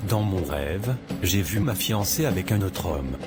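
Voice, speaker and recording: Man doing a worried young guy, very low voice, earnest, cartoon. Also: expressive, a little slow, loud music behind the voice. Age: young adult. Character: troubled young man, law student.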